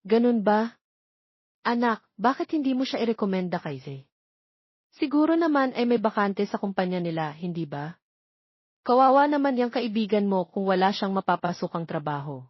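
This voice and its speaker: A woman's voice: medium pitch, lively intonation, moderate pace, no room sound, highs cut off, natural voice. A woman voicing a kindly middle-aged mother in an audio story, speaking in a polite, motherly way. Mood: concerned.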